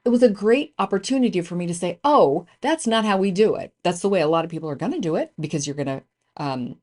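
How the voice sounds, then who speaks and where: A woman's voice: on the low side, a little mellow. A woman hosting a business podcast, introducing the episode and her guest in an emphatic, chatty way with frequent fillers. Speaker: podcast host.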